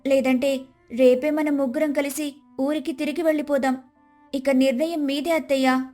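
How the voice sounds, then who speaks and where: A woman's voice: sits high, fairly even intonation, normal speed, warm tone, soft background music, close to an everyday voice. A woman voicing a firm, principled young daughter-in-law in a dramatised story, stern and composed as she gives orders. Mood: stern, commanding. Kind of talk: dramatised Telugu moral story.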